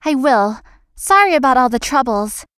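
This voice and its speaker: A woman playing a feisty young florist in a visual novel, earnest and expressive. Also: high-pitched, moderate pace, a little hiss or hum. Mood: polite. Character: feisty young female florist, polite but privately resentful.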